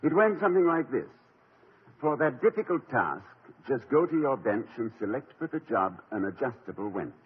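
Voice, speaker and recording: A man's voice: mid-range voice, sing-song, noisy background, natural voice. A man playing an urbane, witty college president. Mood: wry. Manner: polished, gently ironic, measured. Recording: dull lo-fi 1950 radio broadcast.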